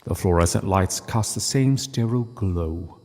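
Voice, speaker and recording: A man reading a literary story as narrator, solemn and deliberate, with dramatic pauses. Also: deep voice, darkish tone, big-room sound.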